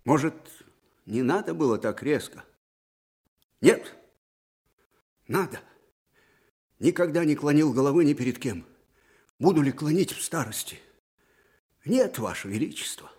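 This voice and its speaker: A man's voice: a little high, lively intonation, unhurried, pauses often, darkish tone. A male actor playing a proud, stubborn old Russian army commander, speaking plainly and defiantly in a historical radio drama. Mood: resolute. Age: older. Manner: defiant, plain-spoken, emphatic. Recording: echoey reverberant hall.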